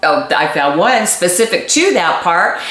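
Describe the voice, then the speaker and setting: A woman's voice: lowish voice, sing-song, a little slow, fairly bright tone, echoey room. A chatty, giggly woman in her sixties co-hosting a casual talk show. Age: 60s. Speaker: co-host. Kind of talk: casual chat.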